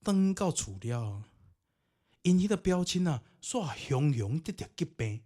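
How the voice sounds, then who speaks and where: A man's voice: fairly high pitch, very animated, unhurried, dark tone. A man with a Taiwanese accent narrating ghost stories and commenting on them in a theatrical, colloquial way. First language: Taiwanese Hokkien. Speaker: podcast host and storyteller.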